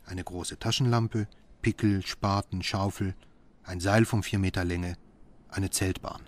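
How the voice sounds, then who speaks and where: A man's voice: low voice, fairly even intonation, a little slow. An actor playing a brooding, obsessive middle-aged father and doctor, intense and introspective, in a radio horror drama. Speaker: narrator and lead character. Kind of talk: radio horror drama, dialogue and first-person narration.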